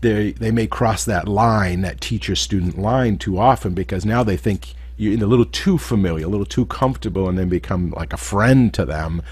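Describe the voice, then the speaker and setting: A man's voice: fairly low pitch, moderate pace, few pauses, darkish tone, husky, no echo. A man hosting a podcast, asking curious, rambling questions with restarts. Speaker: podcast host. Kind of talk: podcast interview.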